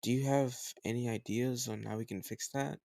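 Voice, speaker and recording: A man playing a teasing, slightly older home tutor who gently chides the listener in a conversational, intimate roleplay audio drama. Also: medium pitch, steady tone, moderate pace, gravelly, no echo, lightly acted. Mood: gently chiding.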